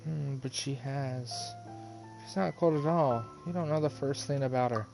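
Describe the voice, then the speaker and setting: Chatty male game streamer, mid pitch. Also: measured pace, creaky, a little mellow, low-quality recording. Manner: chatty, musing. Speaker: live streamer playing a video game. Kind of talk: casual game commentary. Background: faint game music.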